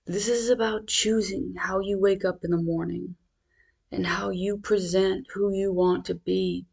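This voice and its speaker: A meditation guide speaking in a measured, reassuring, instructional manner. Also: medium pitch, flat delivery, vocal fry, darkish tone, husky.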